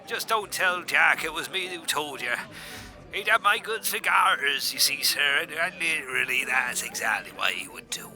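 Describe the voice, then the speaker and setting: Sharp, nervous pirate crewman. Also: on the high side, animated, moderate pace, faint music behind, heavily acted, low-quality recording. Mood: nervous, confessing. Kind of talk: radio comedy drama parody.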